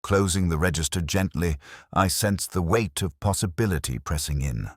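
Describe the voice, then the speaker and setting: A man narrating a scripted first-person detective mystery in a measured, reflective, literary manner. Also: deep voice, no room sound, studio quality.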